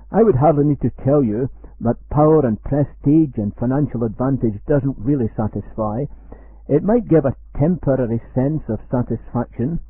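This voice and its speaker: A man with a Scottish accent, giving an earnest, measured radio sermon. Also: medium pitch, breathy edge, dry recording. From Scotland. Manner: measured, earnest preaching, slows for quoted verse. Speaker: preacher. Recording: dry, tinny phone-like radio sound.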